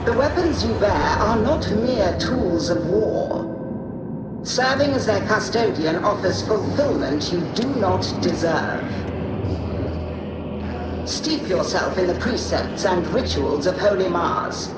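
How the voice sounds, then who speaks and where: Reverent machine-cult priest, slow, mid-pitched, stern, condescending, formal, video game monologue. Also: expressive, over loud music. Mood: solemn, disdainful. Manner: solemn, disdainful, measured, formal. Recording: echoey, distant, very noisy game ambience.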